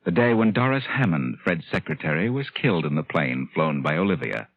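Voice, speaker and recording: An actor playing a smug, sinister, theatrical plotter with a husky voice in a 1940s radio drama. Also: male voice, deep voice, very animated, dry recording, exaggerated voice. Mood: smug, knowing. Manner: deliberate, theatrical, knowing. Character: smug, sinister male plotter guiding a murder story. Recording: muffled, tinny 1940s radio recording with music.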